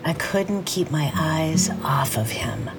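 A fairly low-voiced woman tells her story in a fiction podcast over clear music. She is a divorced business owner, wounded but wry, breaking loose. Her delivery is candid and conversational. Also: lively intonation, takes it slowly, exaggerated voice. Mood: dreamy, confiding. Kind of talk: audio drama acting and confessional first-person narration.

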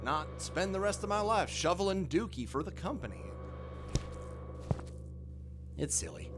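An actor playing Neil, an eager young worker who stammers and gets excited in an audio drama. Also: male voice, sits high, brisk, noisy background. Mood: deflated. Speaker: field worker.

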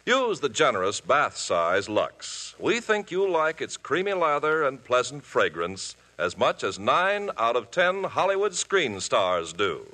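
A man announcing a 1950s radio show, giving a hearty sales pitch. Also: very animated, unhurried, few pauses, pushed and bright.

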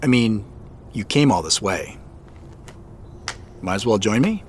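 An actor playing an uncertain, awkward man in a naturalistic audio drama, with hesitant, understated delivery and a husky voice. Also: lowish voice, animated, unhurried, slight background noise. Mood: awkward, reflective. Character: uncertain, awkward, subdued man returning to his past.

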